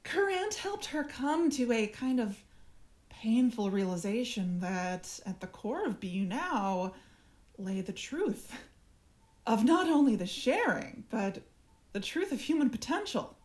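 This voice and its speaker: A woman playing a cool, smug alien parasite speaking through its human host, measured and formal, in an audio drama. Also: fairly high pitch, big pitch swings, darkish tone. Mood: smug, persuasive.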